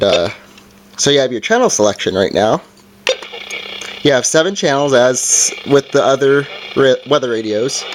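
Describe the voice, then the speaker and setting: A man giving an informal, hands-on product review in a casual explaining style, recorded indoors. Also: medium pitch. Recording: background noise with handling clicks.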